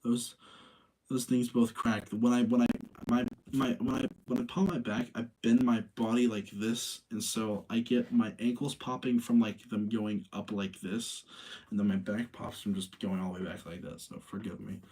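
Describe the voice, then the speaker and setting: Husky, mellow man chatting on a livestream. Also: fairly low pitch, little pitch movement, moderate pace, rough recording. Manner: informal, rambling, slangy. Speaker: livestream host. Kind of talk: casual livestream chat and commentary.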